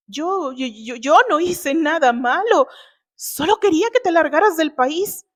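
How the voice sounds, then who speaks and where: A woman's voice: sits high, lively intonation, a little slow, pitched above the performer's own voice. A woman voicing a brash, scheming young man in a dramatized novel reading, spiteful and accusing. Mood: nervous stammering. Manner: accusing, stammering when cornered.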